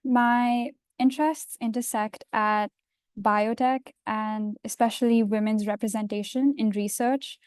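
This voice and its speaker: A woman in her twenties from India, a university student, speaking in a measured, soft-spoken way on a panel. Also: fairly high pitch, steady tone, clear, resonant tone, no room sound, clean studio sound. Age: 20s. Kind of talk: panel introduction.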